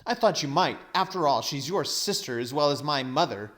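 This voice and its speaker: A man's voice: on the high side, lively intonation, normal speed, a bit bright, pitched above the performer's own voice. Man playing an earnest, hot-tempered young boy. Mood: angry, defiant. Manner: urgent, impassioned. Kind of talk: storybook read-aloud character voice.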